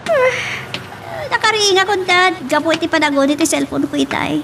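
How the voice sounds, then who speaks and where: A woman playing a lovesick, anxious young daughter in a radio drama, with a creaky voice. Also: extremely high pitch, expressive, moderate pace, over music, full character voice. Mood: startled, defensive.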